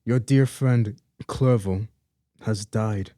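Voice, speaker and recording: A man's voice: lowish voice, slow pace, dark tone, dry recording, studio quality. A young man playing a protective, grieving older father in a radio play, speaking in a formal, paternal manner. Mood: grave, consoling. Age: young adult. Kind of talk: student radio play acting.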